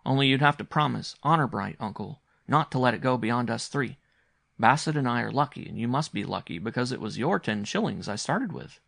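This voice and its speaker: A man's voice: mid-range voice, animated, a little fast, creaky, fairly bright tone, no room sound, clean studio sound, pitched above the performer's own voice. A man voicing an earnest, intense young boy in a story, speaking in a grave, insistent, polite way. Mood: earnest, serene.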